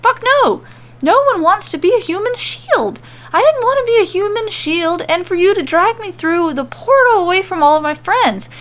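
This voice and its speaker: A woman's voice: very high voice, lively intonation, moderate pace, a bit bright, voice pushed up. Blunt, fed-up fantasy heroine, read by a woman. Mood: furious, yelling. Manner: blunt, sarcastic, snapping. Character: exhausted, angry, sharp-tongued woman wizard captive.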